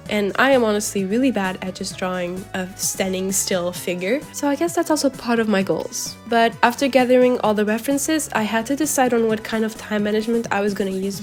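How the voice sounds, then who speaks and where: Young woman narrating her art vlog, kinda nasal from a cold, dry jokey tone, mid pitch, soft music behind. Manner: dry, self-mocking humor. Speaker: art vlogger. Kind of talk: scripted voice-over narration.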